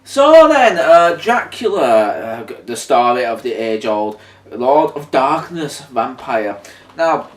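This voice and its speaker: A man's voice: mid-range voice, big pitch swings, takes it slowly. A man hosting an unscripted, casual review chat as the presenter of a video. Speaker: video host. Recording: roomy echo, distant mic, music.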